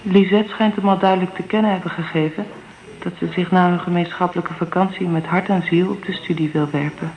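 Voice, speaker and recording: A woman's voice: fairly low pitch, fairly even intonation, a little fast, a little mellow, close to an everyday voice, rough recording. An actress playing an earnest, candid young divorced mother in a Dutch radio drama. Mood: wistful.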